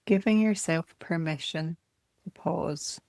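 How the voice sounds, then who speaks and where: A woman meditation teacher leading a guided body scan in a calm, gentle, measured way, speaking in short phrases. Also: fairly low pitch, vocal fry, dry recording.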